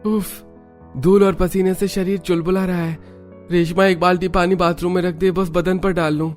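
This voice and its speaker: Man playing a very high-voiced young man. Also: little pitch movement, moderate pace, soft background music, lightly acted. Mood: tired. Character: demanding, self-absorbed young men of the household. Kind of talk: cartoon story acting.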